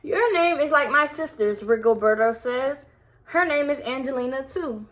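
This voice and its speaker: A woman's voice: high voice, normal speed. A woman reading a picture book aloud to her toddler, with casual asides to the child as she goes.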